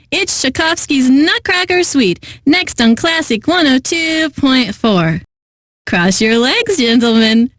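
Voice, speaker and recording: A woman's voice: fairly high pitch, no echo, clean studio sound, close to an everyday voice. An actress playing an eccentric, lovelorn female classical radio host in a video game, announcing with genteel poise and wistful, theatrical delivery. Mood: poised, confiding. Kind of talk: scripted radio DJ announcing with comic drama.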